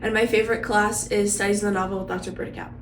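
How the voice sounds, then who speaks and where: A woman's voice: mid-range voice, flat delivery, rapid-fire, distant mic. Earnest teen girl in a roomy space. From Central Indiana. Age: teens. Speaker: student ambassador. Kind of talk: casual interview answers. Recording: roomy, off-mic with faint noise.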